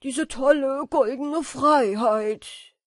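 A woman's voice: high voice, drawn-out words, no echo, voice pushed up. A woman reading a story voices a second young male moth, ecstatically praising his new freedom. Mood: ecstatic. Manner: exclaiming, ecstatic.

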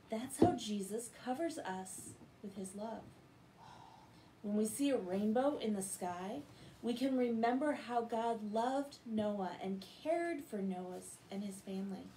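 A woman's voice: on the high side, warm tone, a little hiss or hum, poor audio. A middle-aged American woman reading and talking to children in a bedtime-story style, recorded at home on a device a short way off. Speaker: children's Bible story reader. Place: living room at home, indoors.

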